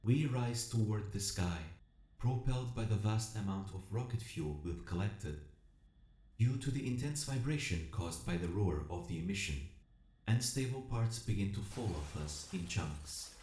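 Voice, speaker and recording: A man voicing a vast collective machine intelligence in an audio drama, narrating in a solemn, measured, formal and coldly analytical manner. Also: lowish voice, animated, dark tone, natural voice. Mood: solemn, methodical. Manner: measured, formal, speaking as a plural we. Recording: roomy echo with music behind.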